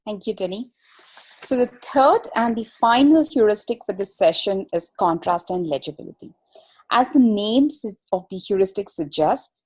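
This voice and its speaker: A woman presenting an instructional webinar as an expert, explaining in a measured, formal manner. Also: medium pitch, a little mellow.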